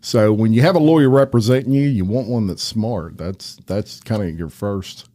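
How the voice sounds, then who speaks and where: A man's voice: lowish voice, moderate pace, dark tone. A man hosting a local podcast interview, upbeat and chatty, often restarting his sentences. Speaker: podcast host. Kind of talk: casual interview chat.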